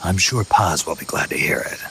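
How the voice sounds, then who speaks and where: An actor playing a gruff, stoic middle-aged American soldier in a video game, with a gravelly growl. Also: male voice, low-pitched, fairly quick, sharp tone, slight background noise, full character voice. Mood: calm, dry. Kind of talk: dramatic video game acting.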